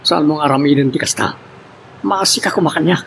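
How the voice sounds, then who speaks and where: A man's voice: a little high, brisk, vocal fry, a bit bright, poor audio. An actor playing a hurt, remorseful man pleading with his lover in an Ilocano melodrama. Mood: weary, pleading. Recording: faint background noise.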